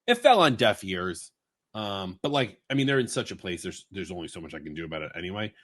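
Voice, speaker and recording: A man from Long Island, co-hosting a podcast in rambling, animated casual chat. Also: sits low, fast talker. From Long Island, New York. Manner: rambling, animated storytelling with fillers and asides. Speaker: podcast co-host.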